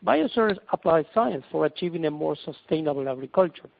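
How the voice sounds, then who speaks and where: A man's voice: on the high side, expressive, a little fast, gravelly. A man presenting as a company executive, reading a scripted investor presentation over a conference call phone line. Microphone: telephone conference call.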